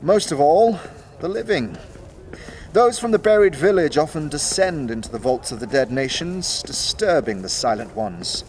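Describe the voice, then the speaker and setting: An actor playing an honorable undead skeleton knight in a fantasy audio drama, speaking with formal, archaic, stately diction. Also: male voice, fairly high pitch, expressive, moderate pace, long pauses, a little mellow, a little hiss or hum, close to an everyday voice. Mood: solemn, courteous.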